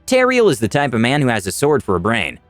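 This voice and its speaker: A man's voice: medium pitch, huge pitch swings, fast, no echo. A man narrating a scripted fantasy adventure story in the first person, with dramatic delivery. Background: music.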